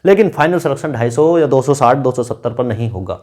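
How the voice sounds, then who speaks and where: A man's voice: medium pitch, lively intonation, fast, a little mellow. A man giving informal instructional advice to candidates, repeating key points for emphasis like a coach. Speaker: exam-guidance YouTuber advising police recruitment candidates. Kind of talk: informal instructional video talk.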